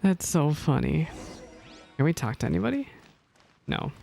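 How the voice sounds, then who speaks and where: A woman's voice: low-pitched, big pitch swings, normal speed, gravelly, warm tone, faint music behind. A woman live-streaming casual game reactions, chatty, exclamatory and self-deprecating.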